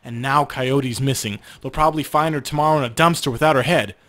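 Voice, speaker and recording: A man's voice: mid-range voice, fast talker, fairly bright tone, lightly acted. A man plays an earnest convert in a video game, full of zeal. He pleads with urgency, as if trying to win someone over. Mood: urgent. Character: earnest, zealous man pleading with his ex-girlfriend. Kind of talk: video game dialogue read aloud.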